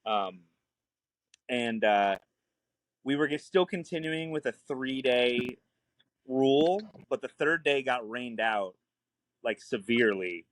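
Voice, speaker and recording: A man's voice: medium pitch, animated, takes it slowly, long pauses, dry recording, low-quality recording. A young man, a guest filmmaker talking frankly and easily in a casual podcast chat. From New Orleans. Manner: frank, easygoing, laughs often.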